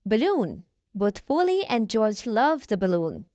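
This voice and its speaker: Lively high-voiced woman reading to children. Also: a little slow, dry recording, dull audio. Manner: expressive, addressing children directly. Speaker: children's story channel host and reader. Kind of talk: read-aloud storybook narration for young children.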